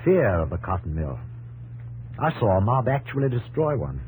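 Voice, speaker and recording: An actor playing a confident but guarded, homesick young English engineer with polite, clipped answers in a 1950s radio drama. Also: male voice, fairly low pitch, very animated, normal speed, darkish tone, husky, full character voice, low-quality recording. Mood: uneasy. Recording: 1950s radio, faint noise and hum.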